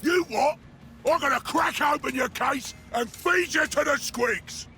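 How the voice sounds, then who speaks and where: A man playing a brutish, hot-tempered ork pilot boss in a video game, shouting gruffly. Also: extremely high pitch, measured pace, full character voice. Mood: angry, blustering. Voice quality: gravelly, shouting. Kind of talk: video game character acting. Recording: loud game music behind the voice.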